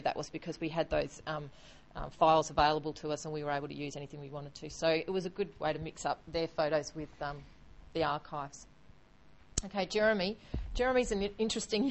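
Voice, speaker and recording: A woman's voice: lowish voice, poor audio. A woman explaining a project casually and chattily, with many fillers.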